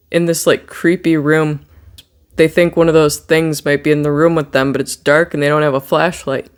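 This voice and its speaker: This is a movie reviewer with a mid-range voice, recapping horror in a casual way. The delivery rambles conversationally and is peppered with swearing. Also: medium pitch.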